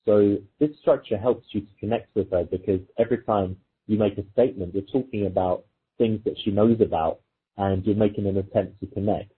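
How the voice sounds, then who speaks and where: A very husky, rough-edged male voice comes through a thin, phone-like recording. He speaks as a coach, explaining things informally in a conversational way and illustrating them with example dialogue. His pitch sits on the low side. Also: brisk. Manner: informal, explanatory, illustrates points with quoted exchanges. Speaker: dating coach. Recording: muffled phone-like audio, thin and lo-fi.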